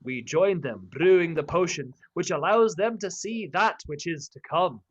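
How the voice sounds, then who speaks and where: A woman's voice: lowish voice, expressive, normal speed, husky, lo-fi sound. Woman narrating a radio drama.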